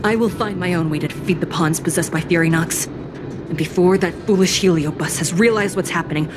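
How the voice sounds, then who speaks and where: A woman voicing a stoic, solemn judge with an artificial body in an anime-style game, formal, measured and composed. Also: fairly low pitch, over music, close to an everyday voice. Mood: grave, resolute.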